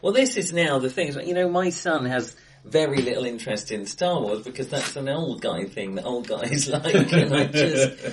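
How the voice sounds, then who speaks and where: A middle-aged man chatting casually and wryly about films as a podcast co-host, recorded in a bright, echoey room. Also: medium pitch, expressive, normal speed, hardly any pauses, a crowd in the background. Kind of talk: casual film chat. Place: face to face in an acoustically bright room.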